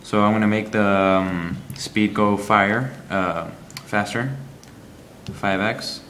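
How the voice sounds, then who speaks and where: A man reviewing a game app, walking through its screens in a halting, explanatory way with frequent ums. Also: on the low side, a bit bright, a little hiss or hum.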